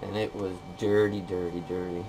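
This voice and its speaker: A man's voice: sits low, little pitch movement, normal speed. A man giving a casual, plain-spoken hands-on repair walkthrough in short, informal phrases. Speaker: machinist demonstrating a repair. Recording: clear background noise, workshop ambience.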